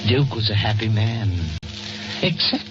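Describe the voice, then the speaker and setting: An actor playing a wry, sardonic brother who narrates a 1940s radio drama in a deliberate way. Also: male voice, lowish voice, expressive, loud music behind the voice. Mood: wry, brooding. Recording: muffled old radio broadcast.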